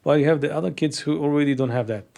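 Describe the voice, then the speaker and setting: A man's voice: a little high, rapid-fire, vocal fry, a little mellow. A man hosting an interview in a language that is not his first, often restarting and searching for words. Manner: searching for words, non-native grammar. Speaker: host. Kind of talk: interview questions.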